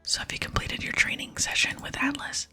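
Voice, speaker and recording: A woman's voice: a little fast, sharp tone, soft background music. Woman whispering as an approving quest ally. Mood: encouraging. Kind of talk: whispered ASMR reading of game dialogue.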